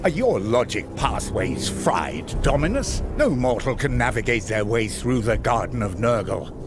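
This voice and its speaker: Proud impatient sci-fi knight, scornful and clipped, game music behind. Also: male voice, medium pitch, sing-song, normal speed. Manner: scornful, curt. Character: hostile, proud armored warrior-knight, stern and impatient. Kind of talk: video game cinematic acting.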